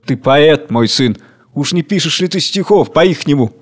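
A man's voice: fairly high pitch, normal speed, darkish tone, close to an everyday voice. A man acting a mighty, somber, fatherly tyrant spirit, declaiming grandly and with command. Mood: mocking, patronizing.

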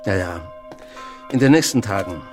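An actor playing a curt, irritable country pastor with a formal, brusque manner in a radio play. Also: male voice, low voice, lively intonation, normal speed, music in the background. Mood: impatient.

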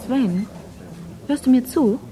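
A woman's voice: high voice, expressive, takes it slowly, mellow. A woman in a German radio drama playing an indignant, jealous wife with a biting, sarcastic manner. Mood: sarcastic, suspicious. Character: indignant, jealous wife, sharp-tongued and demanding.

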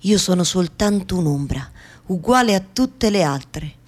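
A woman acting a fearful yet defiant Afghan woman in a radio theatre monologue, speaking in deliberate, repetitive short phrases. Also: on the low side, lively intonation. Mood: weary, watchful. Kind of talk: dramatic first-person monologue, radio theatre.

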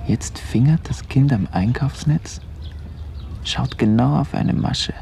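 A man in a literary radio play, playing a brooding observer who lists what is around him in halting fragments. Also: mid-range voice, dark tone. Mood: musing. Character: male observer beside a lake, slow, brooding, dwelling on details. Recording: clear outdoor ambient noise behind voice.

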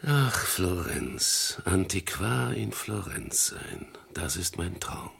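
An old antique dealer in a radio crime play, very deep and full of fry. He is courtly and gossipy, with a lively, insinuating delivery that flatters as it goes. The voice is very husky and breathy. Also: takes it slowly, heavily acted. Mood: flattering, wistful. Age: older. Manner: courtly, insinuating, chuckling between phrases. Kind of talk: German radio crime drama acting.

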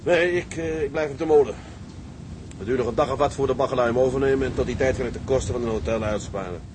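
Male actor as weary, reflective tugboat seaman, mid-pitched, period sea drama, noticeable ambient noise. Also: fast talker, close to an everyday voice. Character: weary, thrifty seafaring tugboat man, reflective and plain-spoken. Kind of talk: Dutch period maritime drama acting. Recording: clearly noisy background ambience.

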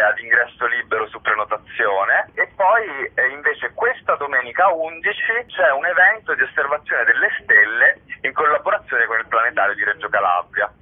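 A man answering interview questions as a theatre festival's artistic director, explaining things in an articulate, conversational way. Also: medium pitch. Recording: narrow band, phone-like radio sound.

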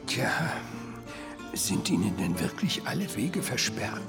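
An older actor playing a sly, patient elderly doctor in a radio crime drama, with a creaky voice and an unhurried, teasing way of telling a story. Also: male voice, lowish voice, big pitch swings, music in the background. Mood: wry, teasing. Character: elderly male doctor, sly, patient, savouring his tale. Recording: long hollow hall reverb.

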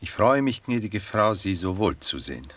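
An actor playing a cultured, melancholic gentleman poet in a German radio drama, speaking in a measured, courteous, reflective manner. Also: fairly low pitch, very animated, slight background noise. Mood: courteous, wry.

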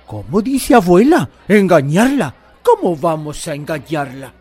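Actor as an excitable high-voiced Chilean villager. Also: male voice, measured pace, a crowd in the background. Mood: puzzled. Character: alarmed, excitable male villager. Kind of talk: Spanish-language radio theatre acting.

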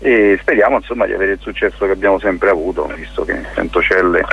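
Low, husky man, halting delivery. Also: steady tone, dull audio. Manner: halting, searches for words. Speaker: interview guest, photography teacher and event organiser. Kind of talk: informal radio interview, explaining an event programme.

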